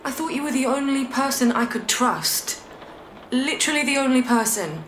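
A woman's voice: high voice, expressive, normal speed, a bit bright, a little hiss or hum. An actress playing a betrayed, anxious but candid British bride in her twenties, in a naturalistic audio drama. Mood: hurt, bitter. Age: 20s. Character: betrayed young bride, long anxious, now bluntly candid.

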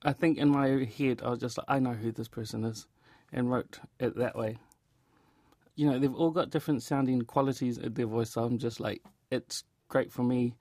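A man's voice: mid-range voice, fairly even intonation, normal speed, darkish tone, no room sound. A man with a New Zealand accent chatting informally in an interview about his creative work, often retelling with quoted asides. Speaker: theatre director and actor being interviewed. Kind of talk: casual interview chat.

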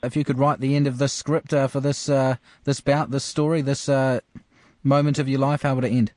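A man's voice: medium pitch, little pitch movement, a little fast, a little mellow, no echo, clean studio sound. A man interviewing a boxer on sports radio, stacking up lead-ins before each question.

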